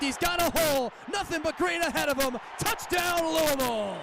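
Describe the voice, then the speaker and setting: High-pitched young male football radio announcer. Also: noisy background. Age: young adult. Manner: clipped phrases building into drawn-out excited calls. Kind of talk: live radio sports commentary.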